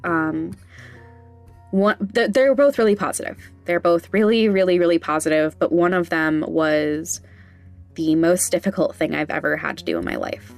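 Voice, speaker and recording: A woman hosting a podcast, talking candidly and casually in a hesitant, halting, self-conscious way. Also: on the low side, gravelly, soft background music. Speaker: podcast host.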